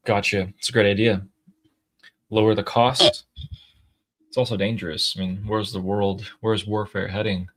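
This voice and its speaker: A man co-hosting a live stream, reading viewer messages aloud and thinking out loud in a casual, chatty way. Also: fairly low pitch, little pitch movement, moderate pace, pauses often. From United States. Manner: chatty, thinks aloud with fillers and throat clearing. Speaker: co-host reading viewer super chats.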